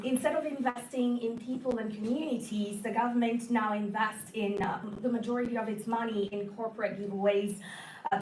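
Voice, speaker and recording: A woman political candidate who is losing her voice, giving a halting debate answer with many self-corrections. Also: mid-range voice, darkish tone. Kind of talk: campaign debate answer to an audience. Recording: echoey hall, distant mic, faint noise.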